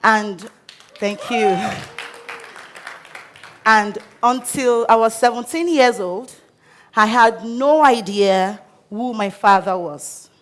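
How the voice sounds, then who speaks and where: A Nigerian woman in her thirties telling her own life story on stage, earnest and measured with pauses. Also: on the high side, fairly bright tone, a faint crowd. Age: 30s. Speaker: speaker. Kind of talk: personal story talk on stage. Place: stage before a live audience.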